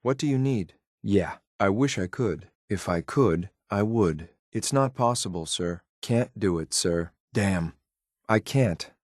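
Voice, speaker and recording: Cool, lethal android soldier for a video game: low voice, clipped military deadpan, very dry close recording. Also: male voice, slow, no echo. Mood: confident, matter-of-fact. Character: stern, loyal synthetic courser soldier, cool and lethal. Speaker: combat companion in a video game. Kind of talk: scripted video game voice lines.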